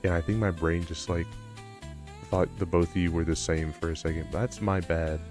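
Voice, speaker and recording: A man with a low voice chatting casually on a live stream, rambling and self-deprecating. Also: steady tone, normal speed, darkish tone. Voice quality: low-pitched voice. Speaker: livestreamer. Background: background music.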